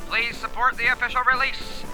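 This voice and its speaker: A woman's voice: on the high side, level tone, a little fast, rough recording. A woman reading scripted closing credits as an announcer. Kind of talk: scripted narration. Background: music.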